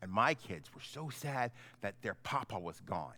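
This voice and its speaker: A middle-aged man, a pastor, giving an informal, halting children's message in simple, conversational words. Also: lowish voice, very animated, pushed and bright. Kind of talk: gentle children's sermon, informal storytelling.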